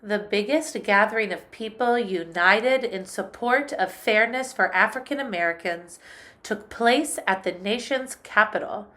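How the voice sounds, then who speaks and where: A woman music teacher reading a picture book aloud in a slow, clear storytelling manner. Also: mid-range voice, sharp tone.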